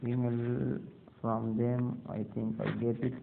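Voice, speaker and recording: A man from Pakistan walking viewers through a screen-recorded tutorial, halting with many fillers and addressing them as guys. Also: medium pitch, little pitch movement, gravelly, noisy background. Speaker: video creator. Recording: tinny phone-like sound.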